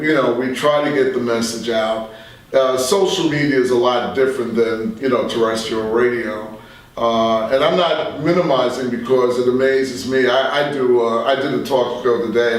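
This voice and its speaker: Echoey older American man, reflective and measured. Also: medium pitch, recorded from a distance. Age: 60s. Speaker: veteran radio journalist and talk show guest. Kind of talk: reflective interview conversation. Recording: very echoey room, off the mic.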